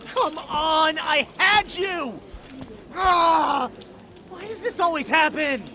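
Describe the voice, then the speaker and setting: A man's voice: very high-pitched, takes it slowly, bright, forceful tone, heavily acted. A man playing a brash young swindler in a video game, slangy, defensive and swaggering. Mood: frustrated. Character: brash young college punk, hot-headed male gambler and swindler. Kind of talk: dramatic game cutscene dialogue, English dub.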